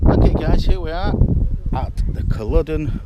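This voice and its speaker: Man vlogging in loud wind. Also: a little high, normal speed, recorded from a distance, highs cut off. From Manchester, England. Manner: chatty, explains step by step. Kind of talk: casual travel vlog with history explanations. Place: outdoors on a windy battlefield. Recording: heavy wind noise outdoors.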